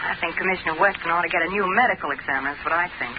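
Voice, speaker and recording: An actress playing an inquisitive, indignant sleuth's companion in an old-time radio drama, insistent and questioning. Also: female voice, on the low side, very fast, close to an everyday voice. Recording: muffled, noisy old-time radio recording.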